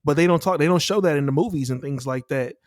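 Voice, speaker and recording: A man's voice: fairly high pitch, fast talker, no echo, studio quality. A man hosting a casual interview, laid-back and leaning on fillers as he asks questions. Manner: laid-back, leans on "you know" and "like". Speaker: show host.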